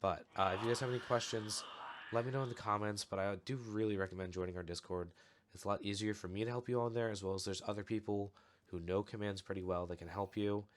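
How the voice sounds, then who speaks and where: A young man recovering from a cold, calmly walking through a step-by-step game tutorial with a slightly off voice. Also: on the low side, fast. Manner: relaxed, explanatory, steady walkthrough with fillers. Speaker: channel host giving a game tutorial. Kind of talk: casual screen-recorded tutorial.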